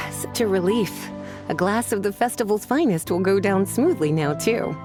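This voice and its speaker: A woman playing a composed, caring adult woman in a video game, smooth and articulate. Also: mid-range voice, animated, measured pace, music in the background, close to an everyday voice.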